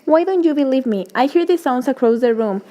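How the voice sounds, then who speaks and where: A frightened, feverish wife acted by a woman in a gothic radio drama. Her voice is high yet mellow, and she speaks with fearful insistence. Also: expressive, brisk. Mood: frightened, pleading. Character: feverish, frightened young wife who hears phantom voices.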